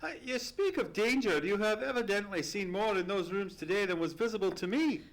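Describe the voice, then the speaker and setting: A loyal, genteel gentleman companion played by an actor in an old-time radio mystery. The voice is mid-pitched with lively swings. It is formal, earnest and a little hesitant. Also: a little fast, lightly acted. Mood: earnest, puzzled. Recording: live stage radio play recording.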